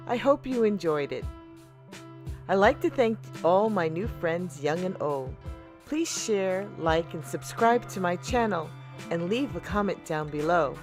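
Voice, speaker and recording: A woman host reads a children's storybook aloud in a slightly low voice. She takes her time, stressing words and pausing for drama, while faint music plays behind her.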